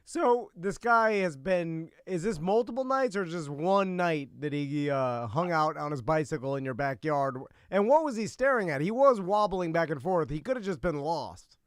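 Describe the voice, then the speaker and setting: A man's voice: on the high side, animated, moderate pace, hardly any pauses, smooth, no echo, clean studio sound. A man co-hosting a radio talk show in casual chat.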